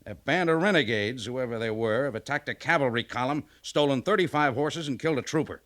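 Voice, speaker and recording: An American man acting a gruff, exasperated cavalry major in a 1950s radio western, blustery and folksy in his delivery. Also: mid-range voice, fairly quick, a bit bright, natural voice. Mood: brisk, businesslike. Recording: 1950s radio drama recording.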